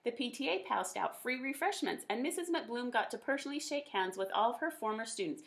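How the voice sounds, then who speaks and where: Higher-voiced woman reading a children's storybook. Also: expressive, brisk, gravelly, fairly bright tone. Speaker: teacher reading a picture book aloud. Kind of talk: expressive children's storybook read-aloud.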